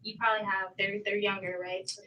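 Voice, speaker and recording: A woman asking informal, gentle questions in a recorded interview. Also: medium pitch, little pitch movement, a little fast, lo-fi sound. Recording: distant interview room audio.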